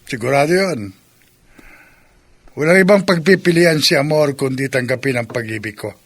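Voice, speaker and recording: An actor playing an obsessive, scheming male aswang in love, gravelly and melodramatic, in a horror radio drama. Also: mid-range voice, moderate pace, fairly bright tone, lightly acted. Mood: smug, possessive. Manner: confident, menacing, melodramatic.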